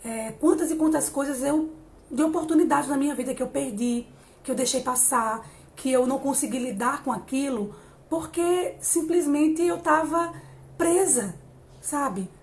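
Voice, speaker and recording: A woman in her late thirties giving an encouraging live-stream talk on emotional growth, pausing often and restarting her sentences. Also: high-pitched. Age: 30s. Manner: warm, encouraging, many hesitations and restarts. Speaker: psychologist hosting a live stream.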